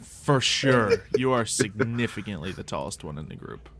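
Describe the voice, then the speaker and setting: Bright-voiced male game master. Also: fairly low pitch, lively intonation, normal speed, a little hiss or hum. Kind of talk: casual tabletop game explanation.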